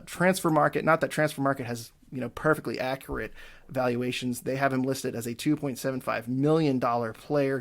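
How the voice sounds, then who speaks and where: A man hosting a casual soccer podcast, chatting loosely with many filler words and self-corrections. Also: mid-range voice. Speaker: podcast host. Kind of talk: casual sports podcast chat.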